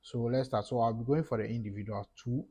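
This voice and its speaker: A young man from Ghana with a Ghanaian English accent, talking viewers through a screen-recorded tutorial step by step, with fillers and pauses. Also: medium pitch, fairly even intonation, mellow, breathy edge. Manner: casual explaining, talks through each step, halting with fillers. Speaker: tech YouTuber. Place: small room indoors.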